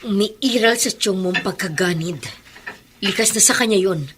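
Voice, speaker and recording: A woman's voice: medium pitch, normal speed, a bit bright, slight background noise, lightly acted. A woman playing a fiercely protective, long-suffering mother in an emotional, emphatic Tagalog radio drama style. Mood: bitter, firm.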